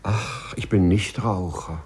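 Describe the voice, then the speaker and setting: An actor playing a timid, fussy middle-aged clerk in a 1950s radio play, polite and hesitant, with a stammer. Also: male voice, low voice, takes it slowly, a little mellow, breathy edge, exaggerated voice. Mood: bashful, earnest. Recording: 1950s studio radio recording, music behind.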